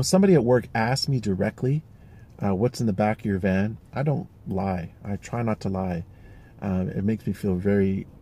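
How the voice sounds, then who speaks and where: Man, 40s, dark mellow gravelly tone, rambling reflective vlog delivery from a van, faint noise. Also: fairly low pitch. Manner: rambling, thoughtful, frequent fillers and restarts. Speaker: vlogger. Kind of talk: casual reflective monologue.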